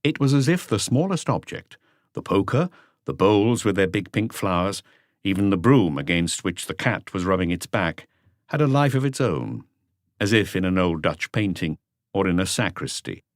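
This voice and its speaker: A man's voice: low-pitched, big pitch swings, normal speed, dry recording, studio quality. A man narrating an audiobook story from a script.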